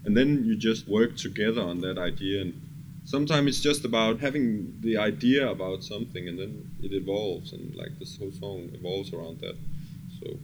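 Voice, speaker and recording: A man's voice: lowish voice, normal speed. A man from Denmark with a Danish accent, talking casually and earnestly as a music producer in an interview, at discursive length with some swearing. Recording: clear background ambient noise.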